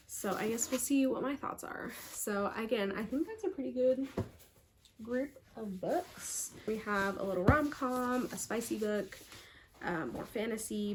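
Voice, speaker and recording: A woman's voice: mid-range voice, a little hiss or hum. A woman book vlogger talking casually and reading book blurbs aloud, a little congested in parts. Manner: chatty, upbeat, filler-heavy.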